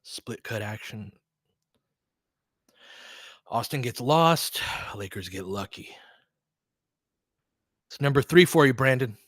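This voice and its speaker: A man's voice: mid-range voice, animated, slow, long pauses, fairly bright tone, dry recording, studio quality. A man doing casual live basketball commentary as host, chatting with listeners in a conversational, mildly profane way with trailing asides. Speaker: basketball play-by-play host and coach.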